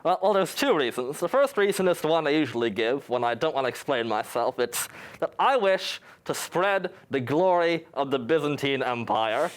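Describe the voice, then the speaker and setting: A man's voice: a little high, lively intonation, moderate pace. A young man from New York, a student guest in a campus TV studio, answering with dry, mock-grandiose humor. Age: young adult. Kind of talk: tongue-in-cheek interview answers.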